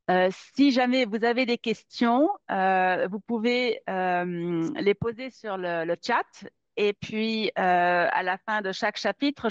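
A woman's voice: lowish voice, dry recording. Hesitant woman, dull webinar audio. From Netherlands. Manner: many fillers and repeated words. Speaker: employment lawyer giving a webinar. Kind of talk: hesitant spoken presentation. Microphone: webcam or laptop mic on a video call.

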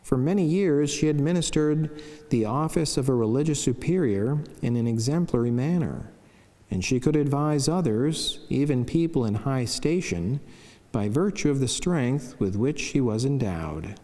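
A middle-aged man, a friar, solemnly reading a scripted devotional text in a measured way at a chapel lectern. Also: medium pitch, animated, warm tone. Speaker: Franciscan friar and priest. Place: wood-panelled chapel, indoors. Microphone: lectern microphone. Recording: long church reverb.

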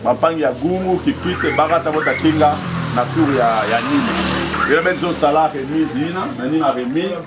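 Male Congolese announcer, fairly high pitch, very noisy outdoor recording. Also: moderate pace.

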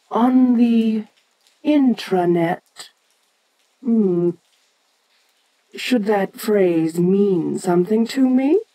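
A woman playing an ancient, domineering giant kaiju in an audio roleplay, haughty, deliberate and curious, talking down to a lesser creature. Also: medium pitch, mellow, rough recording. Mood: puzzled, condescending.

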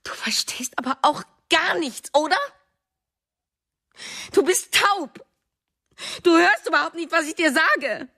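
An actress in a radio drama playing a bitter, fearful, demanding wife with an accusing, emphatic manner. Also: female voice, very high voice, animated, takes it slowly, pauses often, sharp tone, full character voice. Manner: emphatic, accusing, short clipped phrases. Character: embittered, anxious wife, jealous, demanding and fearful.

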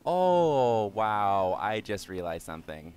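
A young man with a slightly raspy, hoarse voice giving casual let's-play commentary, swearing and mocking himself as he plays. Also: fairly low pitch, very animated, slow pace, darkish tone, a little hiss or hum. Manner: swears and exclaims at the game, self-mocking. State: hoarse from yelling. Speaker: gaming channel host.

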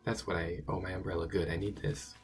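A man with a hoarse, sore-throated voice giving casual, chatty commentary while playing a video game, talking to himself and to the game. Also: sits low, little pitch movement, fast talker, faint music behind. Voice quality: gravelly, raspy. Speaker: let's play gamer.

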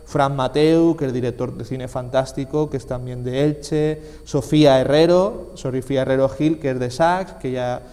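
A man's voice: medium pitch, moderate pace, hardly any pauses, warm tone. A man in his twenties with an accent from Spain, answering casually as an interview guest in an emphatic, repetitive way. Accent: Spanish from Spain. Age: 20s. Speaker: interview guest, film festival organiser. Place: small indoor TV studio, seated at a desk. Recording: reverberant room.

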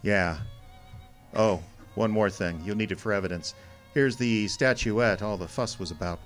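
An actor playing a stern, cynical private detective in an old-time radio drama, terse and deliberate. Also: male voice, fairly low pitch, faint music behind, lightly acted. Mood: weary, wry. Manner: terse, tough-talking, deliberate. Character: hard-boiled male private detective, stern, cynical, wounded but resolute.

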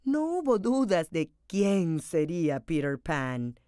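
A woman storyteller reading a children's picture book aloud in an expressive, dramatized way. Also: deep voice, slow pace, clear, resonant tone, no room sound, studio quality.

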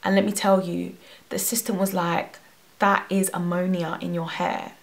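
Young woman, gravelly voice, animated storytime vlog, talking to camera at home. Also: lowish voice, normal speed. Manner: animated, expressive, gesturing a lot. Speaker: hair vlogger. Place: bedroom at home. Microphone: camera at face distance.

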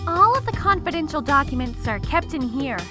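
A prim, sharp-tongued teenage ninja girl voiced by a woman in an anime fan dub. Her voice is extremely high, earnest and scolding, over clear background music. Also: big pitch swings, fairly quick.

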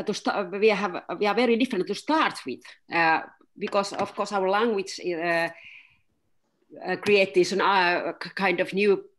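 A woman author with a Finnish accent, speaking haltingly with many fillers on a video call panel. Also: on the low side. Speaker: guest author. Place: home room.

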